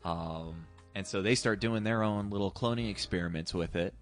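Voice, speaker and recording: A man chatting casually as a podcast co-host, retelling a plot haltingly with some swearing. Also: deep voice, soft background music. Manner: hesitant, halting, profane.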